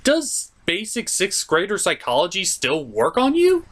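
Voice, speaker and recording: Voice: medium pitch, wildly swooping pitch, measured pace, a bit bright. A reviewer giving a scripted comedic movie recap in a sarcastic, mock-exasperated way. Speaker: host reviewer.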